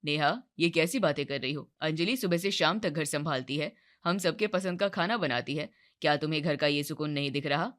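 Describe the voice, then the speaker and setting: A woman plays an earnest brother in a cartoon moral tale, her voice dropped low. He sounds caring and reproving, reasoning firmly. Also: a little fast, few pauses, a bit bright. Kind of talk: moral-story cartoon voice acting.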